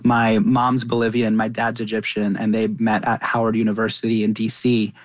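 A man's voice: on the low side, monotone, moderate pace, dry recording. A man from Qatar chatting casually as an interview guest, earnest and often rephrasing himself as he goes. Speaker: interview guest, chef and cookbook author.

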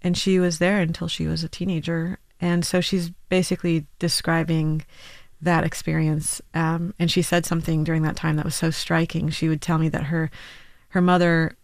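A woman's voice: low-pitched, flat delivery, normal speed, dry recording. A woman in her thirties, a musician being interviewed, who talks reflectively with many restarts and hedges. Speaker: musician guest. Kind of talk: radio interview.

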